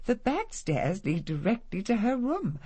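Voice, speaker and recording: Radio matriarch: middle-aged, haughty and formal, husky timbre, very animated pitch, coolly disapproving. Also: female voice, measured pace. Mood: formal, firm. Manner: imperious, clipped, formal. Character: haughty, disapproving upper-class matriarch, cool and cutting. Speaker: actress in a radio detective drama. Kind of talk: radio drama dialogue.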